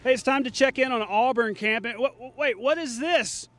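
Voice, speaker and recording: Man, TV sports anchor, high pitch, live sportscast, conversational, joking. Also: moderate pace, a bit bright, faint music behind.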